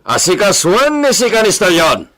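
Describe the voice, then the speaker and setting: Lalaki nga agak-akem iti nainayad a señor, agbaliwbaliw ti tonona. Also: male voice, sits high, huge pitch swings, a little slow, fairly bright tone, a little hiss or hum, full character voice. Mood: jovial, affectionate. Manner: theatrical, peppers speech with Spanish exclamations. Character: wealthy Spanish-styled don, doting father and villa master. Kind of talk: radio comedy drama acting.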